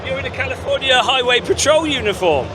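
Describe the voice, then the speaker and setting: A man's voice: very high voice, expressive, quick pace, crowd noise, noisy background. A male gaming channel host chatting casually and upbeat, with lots of fillers, on a walkthrough of a busy gaming convention floor.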